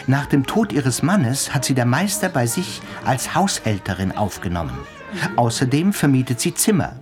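An actor playing an earnest, inquisitive hospital doctor in a German radio play, with an emphatic, probing manner. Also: male voice, medium pitch, big pitch swings, normal speed, over music, close to an everyday voice. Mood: thoughtful.

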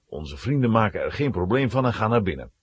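A male narrator tells a children's story with dramatic delivery. His low voice is very husky and moves expressively, recorded dry and close. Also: very animated, a little fast, no room sound.

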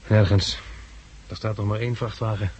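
An actor playing a practical, cautious survivor with a direct, questioning manner, in 1960s Dutch radio drama style. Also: male voice, lowish voice, fairly even intonation, moderate pace, darkish tone, breathy edge, lo-fi sound. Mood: wary. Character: practical, cautious male survivor leading the search. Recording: noisy vintage 1969 radio recording.

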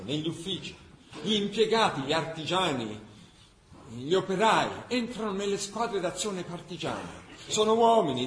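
A male actor playing a fervent chronicler of a city preparing to rise, emphatic and rhetorical with weighted pauses, in a radio drama. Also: on the high side, a little hiss or hum, natural voice. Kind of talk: dramatic scripted narration. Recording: roomy echo with clear background ambience.

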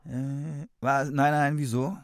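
A man's voice: medium pitch, steady tone, slow, vocal fry. A man acting a confused young rock guitarist in a German radio play, hesitant, stammering and repeating his words. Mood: unsure, hesitant.

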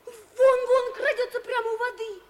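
A woman voicing an excitable, somewhat timid young boy in a 1950s radio play. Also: very high-pitched, normal speed, slight background noise. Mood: nervous. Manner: anxious, whispering at times, excitable.

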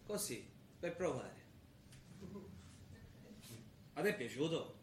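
A man acting on stage as a tender, bookish young poetry lover, declamatory at times and gently hesitant at others. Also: on the high side, sing-song, moderate pace, slight background noise. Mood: tentative, tender. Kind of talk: live stage play acting. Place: theatre stage. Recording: echoey theatre stage recording.

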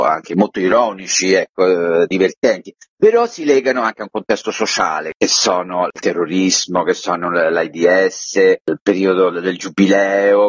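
Older roman guy reminiscing casually, deep voice, kinda muffled dry recording. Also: slightly rough, dry recording. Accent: Roman Italian. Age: 60s. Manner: colloquial, reminiscing. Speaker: interview guest, actor and director. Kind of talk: casual anecdotal storytelling in a radio interview. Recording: dull, lo-fi radio interview audio.

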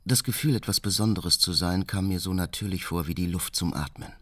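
An actor playing a solitary, reflective hermit reading his nature diary in a measured, literary way for a radio drama. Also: low-pitched, lightly acted. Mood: reverent, contemplative. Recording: studio voice under prominent music. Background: prominent music with mallet percussion.

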